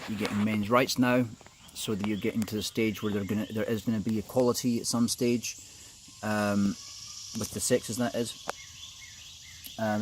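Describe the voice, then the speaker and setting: A man's voice: on the low side, a little hiss or hum. A middle-aged man from New Zealand giving an informal, opinionated monologue, rambling and hesitant as he goes. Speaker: video commentator.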